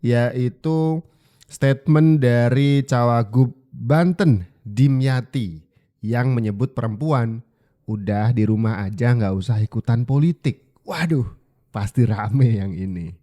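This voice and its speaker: A man's voice: medium pitch, takes it slowly, dark tone. A man with an Indonesian accent giving casual, colloquial political commentary as a host. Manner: conversational, colloquial.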